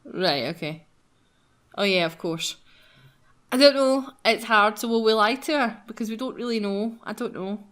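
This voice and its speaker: A woman's voice: fairly high pitch, normal speed, fairly bright tone. A woman hosting a let's play, chatting casually and reading game text aloud in a chatty, giggly manner. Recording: clear background game noise.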